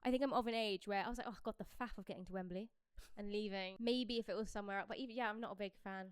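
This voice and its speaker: A British woman chats quickly and expressively as a podcast co-host. Her voice is clear and smooth, recorded close and dry, in an easy conversational style. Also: medium pitch, steady tone, no room sound. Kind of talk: casual pop culture chat.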